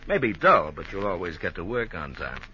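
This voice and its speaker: An actor playing a smooth-talking, menacing gambler in a 1950s American radio crime drama, with slangy, coolly persuasive delivery. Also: male voice, deep voice, sing-song, moderate pace, sharp tone, heavily acted. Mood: smooth, goading. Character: smooth-talking male gambler turned cold, menacing gunman. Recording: muffled, noisy old 1950s radio recording.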